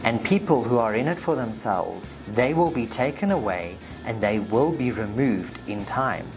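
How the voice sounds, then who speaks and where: Low-pitched South African woman narrating. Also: expressive, moderate pace, music in the background. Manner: emphatic, forceful when reading the client. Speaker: video host, spiritual practitioner. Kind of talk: narration read from session transcripts.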